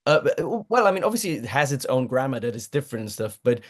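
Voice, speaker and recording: A man's voice: medium pitch, big pitch swings, fast talker. Chatty German-native man, laughing a lot. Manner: rambling, self-deprecating, laughs often, many restarts. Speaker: guest voice actor. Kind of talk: casual interview chat.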